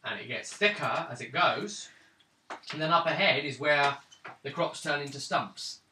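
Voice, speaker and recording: A man's voice: a little high, unhurried, pushed and bright. Man narrating a tabletop game, roomy and distant. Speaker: game master. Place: indoors around a gaming table. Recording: roomy, distant mic, clearly noisy.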